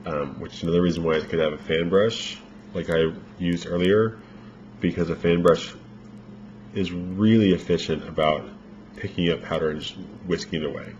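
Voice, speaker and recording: Man, very low voice, makeup tutorial, rambling casual instructions, slightly worn voice, dull lo-fi sound. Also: normal speed. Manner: relaxed, rambling instructions with fillers. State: losing his voice. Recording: dull lo-fi home recording with faint noise.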